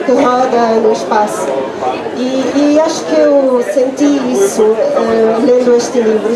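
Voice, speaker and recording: Young woman, non-native speaker, hesitant thoughtful talk, fairly high voice, echoey space, far from mic, loud crowd behind. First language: not Portuguese. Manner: hesitant, thoughtful, many restarts and fillers. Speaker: translator and book launch speaker. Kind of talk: unscripted talk at a book launch. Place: book fair in a public space.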